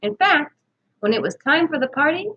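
Lady reading a kids story out loud, highish and really expressive, bit muffled lo-fi sound. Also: moderate pace. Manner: animated, acts out characters' dialogue. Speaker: read-aloud narrator. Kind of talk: expressive children's story read-aloud.

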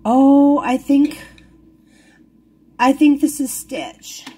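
A woman's voice: sits high, extremely slow, mellow. A woman chatting casually while unboxing toys at a table, easily delighted and giggly. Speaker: toy unboxing vlogger. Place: indoors at a wooden table. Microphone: camera over the table, hands-only.

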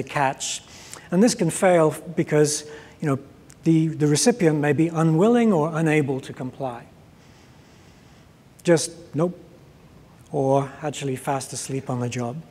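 Male keynote speaker, middle-aged, slightly high-pitched and husky, measured explanatory delivery, big reverberant hall. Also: warm tone. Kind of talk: conference keynote, explanatory lecture. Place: large conference stage, indoors. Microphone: clip-on lapel mic.

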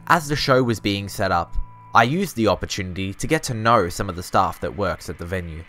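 A man narrating a documentary in an even, measured way. His voice is low and notably bright and sharp, with faint music beneath. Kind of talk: scripted narration.

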